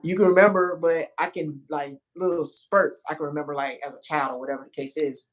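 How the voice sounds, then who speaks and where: A host in a relaxed conversation who talks loosely, searching for words and acting out what they say to themselves. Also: mid-range voice, a little fast. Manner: loose, searching speech, acts out inner dialogue. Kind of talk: casual conversation.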